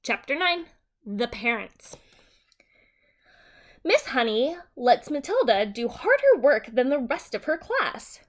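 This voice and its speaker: A woman with a fairly high voice reads a children's story aloud as a teacher. Her delivery is very lively in pitch and notably slow, with simple, deliberate explanations meant for young listeners.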